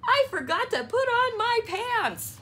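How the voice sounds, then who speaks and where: Woman putting on an excitable high-pitched little boy frog. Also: a little slow, full character voice. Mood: sheepish. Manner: shouty, sing-song exclamations. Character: excitable young boy frog, eager and forgetful. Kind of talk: children's storybook read-aloud.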